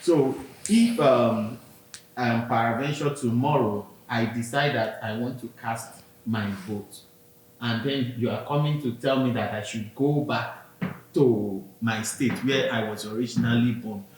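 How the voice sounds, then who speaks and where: A Nigerian man on a podcast, earnestly explaining his view with personal examples. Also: unhurried. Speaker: podcast co-host. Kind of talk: casual discussion. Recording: very echoey room, faint rumble.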